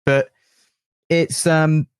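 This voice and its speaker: A man's voice: fairly high pitch, level tone, very slow, smooth, dry recording. A man giving conversational interview answers as a band member. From England. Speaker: band keyboardist and backing singer.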